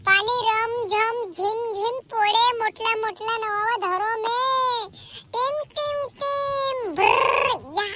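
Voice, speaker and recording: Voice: extremely high pitch, steady tone, takes it slowly. A performer voicing a playful small child in an amateur cartoon comedy, chanting in singsong nonsense rhymes. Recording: very noisy recording with clicks.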